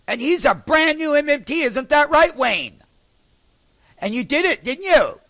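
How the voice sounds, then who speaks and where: A man's voice: extremely high pitch, very animated, a little slow, creaky, fairly bright tone, no echo. A man hosting a live stock trading call with emphatic, repetitive commentary.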